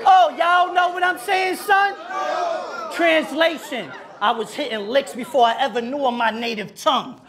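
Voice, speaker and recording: Aggressive high-voiced battle rapper shouting bars. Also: male voice, moderate pace, a crowd in the background, noisy background. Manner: aggressive, taunting, repeats and shouts his bars; drops Spanish slang into his verses. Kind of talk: a cappella battle rap performance. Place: indoor club stage with a live crowd.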